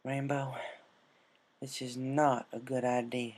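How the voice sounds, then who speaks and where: A man's voice: mid-range voice, little pitch movement, slow, darkish tone. A man voicing a stubborn, caring cartoon farm pony, a mare with folksy country phrasing. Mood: uneasy, soft.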